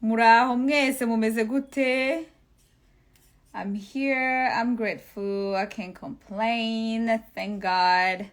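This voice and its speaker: Higher-voiced woman hosting casually. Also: steady tone, drawn-out words. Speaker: host of a live online talk. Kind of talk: casual livestream chat.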